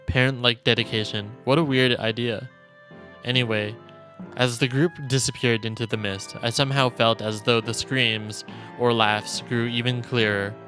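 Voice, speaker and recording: A man with a mid-pitched voice reads visual novel text aloud for a stream. He narrates dramatically and in a measured way, like a storyteller, with music softly behind him. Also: steady tone. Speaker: streamer reading a game aloud. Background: game music.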